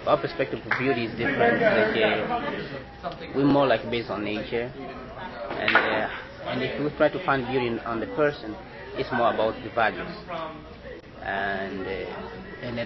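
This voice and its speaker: Man answering over loud crowd. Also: medium pitch, animated. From Angola. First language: Portuguese. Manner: halting, many restarts and fillers. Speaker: interviewee. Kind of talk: casual interview answers. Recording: dull lo-fi sound with loud crowd noise.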